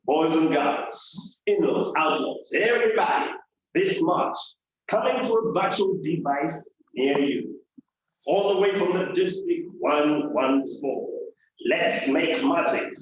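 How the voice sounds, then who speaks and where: Man acting as showy male movie announcer, grandiose trailer parody, theatrical drawn-out phrasing, reverberant room, distant mic. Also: fairly high pitch, a little hiss or hum. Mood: grand, theatrical. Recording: very echoey room, distant microphone.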